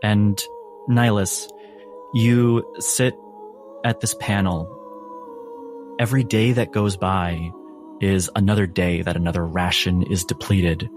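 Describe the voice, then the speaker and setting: A man narrating a tabletop role-playing game as game master, in a measured way with dramatic pauses. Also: low-pitched, steady tone. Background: faint music.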